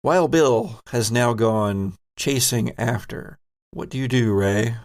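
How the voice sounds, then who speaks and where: A man with a creaky, gravelly voice narrates a tabletop horror game as its game master. His delivery is theatrical and unhurried, stretching out phrases for suspense at a slow pace. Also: mid-range voice. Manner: theatrical, drawn-out storytelling.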